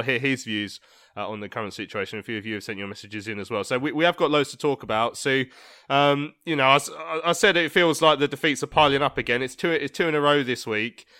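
Bloke hosting a fan podcast, loose and chatty, medium pitch, dry close sound. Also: male voice, bright, forceful tone, clean tone, no room sound. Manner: chatty, rambling with frequent fillers. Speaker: podcast host. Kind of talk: casual fan podcast chat.

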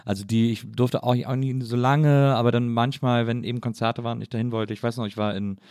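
A man's voice: medium pitch, fairly even intonation, fast, darkish tone, no room sound, clean studio sound. A middle-aged man from near Cologne hosting a podcast, telling stories in a chatty way and laughing often. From Germany, near Cologne. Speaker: podcast host. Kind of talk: casual conversation. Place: living room.

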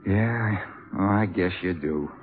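An actor playing a troubled, defensive young jazz trumpeter in a 1950s radio drama, stammering and emphatic. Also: male voice, deep voice, takes it slowly, over loud music. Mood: hurt, puzzled. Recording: muffled, band-limited 1950s radio recording.

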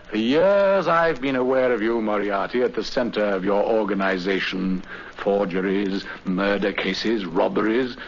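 An actor playing a coolly confident master detective with a refined English accent, urbane and dryly ironic, in a 1950s radio drama. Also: male voice, medium pitch. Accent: English, Received Pronunciation. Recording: muffled, faintly hissy 1950s radio recording.

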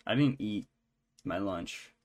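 A man talking casually as a podcast co-host, in a halting, rambling way. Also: lowish voice, background noise. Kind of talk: casual chat.

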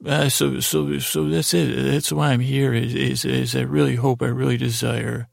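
A man hosting a sleep podcast with a rambling, halting bedtime monologue in a gravelly, creaky voice, often trailing off mid-sentence. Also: mid-range voice, flat delivery, no room sound, studio quality. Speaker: podcast host.

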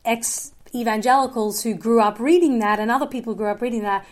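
A woman's voice: a little high, a little fast. A woman, talking earnestly as a guest in a podcast interview, with many fillers and restarts. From Australia. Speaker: guest filmmaker.

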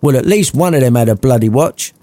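A working-class Victorian mother, played by a man, fusses and scolds with blunt affection. The storybook voice swings in pitch and is recorded close and dry. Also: expressive, fairly quick, warm tone, no echo, close to an everyday voice. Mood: worried, annoyed.

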